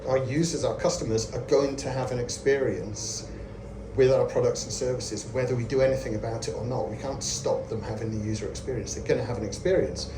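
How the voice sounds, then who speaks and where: Man, 40s, conference speaker; conversational, filler-heavy delivery, mid pitch, echoey venue. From Scotland. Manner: friendly, self-deprecating, conversational with many fillers. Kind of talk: lightning talk at a meetup. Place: event venue with audience. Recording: echoey room with ambient noise.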